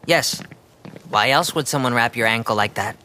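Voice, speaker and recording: Audiobook role: questioning teenage boy played by a man, blunt, bright tone, faint noise behind. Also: mid-range voice, moderate pace, lightly acted. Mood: skeptical. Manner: questioning, matter-of-fact. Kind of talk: audiobook character dialogue.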